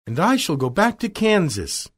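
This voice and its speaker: A man's voice: sits high, huge pitch swings, unhurried, voice pushed up, exaggerated voice. A man voicing Dorothy as an earnest, eager young girl in a children's storybook.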